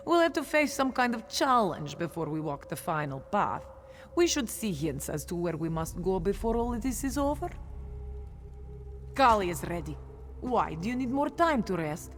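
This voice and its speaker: A woman's voice: fairly low pitch, slight background noise. An actress playing a proud, grieving young cat-folk warrior woman in a video game, with earnest dramatic delivery. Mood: defiant, determined. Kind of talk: video game character dialogue.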